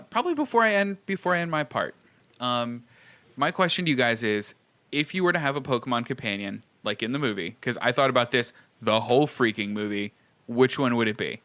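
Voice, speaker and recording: A man's voice: medium pitch, bright, forceful tone. A man co-hosting a podcast, chatting informally and wordily with plenty of filler "like"s. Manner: informal, wordy, often says "like". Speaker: podcast co-host. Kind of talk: casual podcast chat.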